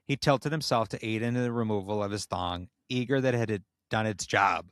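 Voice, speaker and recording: A man reading comedic erotic fiction aloud with expressive, story-like narration, as a podcast host. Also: fairly low pitch, moderate pace, smooth, dry recording, clean studio sound.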